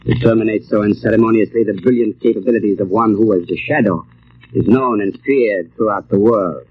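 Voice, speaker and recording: Suave villainous mastermind, tinny old recording. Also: male voice, lowish voice, fairly quick, breathy edge. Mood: grandiose, menacing. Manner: suave, menacing, imperious, grandiose. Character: arrogant villainous mind-controlling anarchist mastermind, a man. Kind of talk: old-time radio drama acting.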